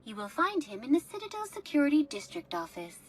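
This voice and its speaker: A woman's voice: sits high, sing-song, quick pace, a little hiss or hum. A woman voicing a polite, formal virtual assistant giving information at an embassy in a sci-fi game. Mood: courteous, formal. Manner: formal, courteous, precisely scripted. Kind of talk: scripted information-desk announcements in a sci-fi game.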